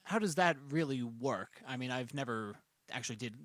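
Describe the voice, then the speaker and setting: A man in his late twenties co-hosting a podcast, chatting in a relaxed, agreeable way. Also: mid-range voice, normal speed, no room sound, studio quality. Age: 20s. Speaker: podcast co-host. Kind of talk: casual podcast interview.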